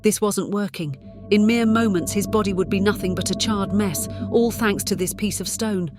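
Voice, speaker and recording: A woman's voice: medium pitch, moderate pace. A woman reading scripted fiction as a dramatic audiobook narrator. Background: music.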